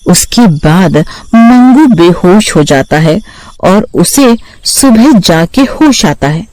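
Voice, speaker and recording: A woman narrating a scripted children's horror story in a measured storybook manner. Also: lowish voice, lively intonation, darkish tone. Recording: music behind the voice.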